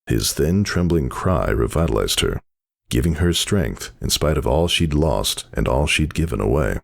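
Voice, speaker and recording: Very deep, gravelly man narrating dramatically. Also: expressive, moderate pace.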